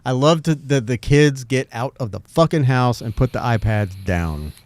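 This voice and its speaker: Relaxed joking man hosting a podcast. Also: mid-range voice, normal speed, no echo. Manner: relaxed, joking, swears casually. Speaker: podcast host. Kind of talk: casual podcast chat.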